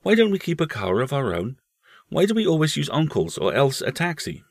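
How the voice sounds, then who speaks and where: A man's voice: fairly high pitch, huge pitch swings, brisk, no room sound, clean studio sound, pitched above the performer's own voice, full character voice. A man voicing a timid, earnest young boy who asks wondering, insistent questions in a storybook reading. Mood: timid, curious.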